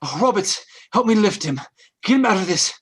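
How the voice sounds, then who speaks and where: A man's voice: high voice, sing-song, fairly quick, husky, exaggerated voice. A man playing an urgent, protective rescuer, straining and commanding, in an old time radio horror play.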